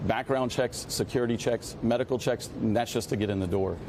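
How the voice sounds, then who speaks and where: An American man answering interview questions as a union official, earnest and deliberate. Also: mid-range voice. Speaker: union president being interviewed. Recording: clear outdoor city noise behind.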